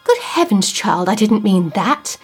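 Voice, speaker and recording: A woman reading the part of a troubled, grieving widower in an audiobook ghost story, anxious and earnest, sometimes dropping to a hush. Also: mid-range voice, very animated, a little slow, breathy edge, faint music behind. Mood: uneasy. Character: troubled grieving widower, man, middle-aged, uneasy.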